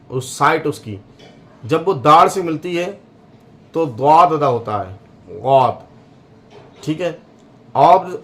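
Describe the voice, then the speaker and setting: A man giving a slow religious lesson on pronouncing letters, repeating each sound to demonstrate it. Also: fairly high pitch, pushed and bright. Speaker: Quran teacher.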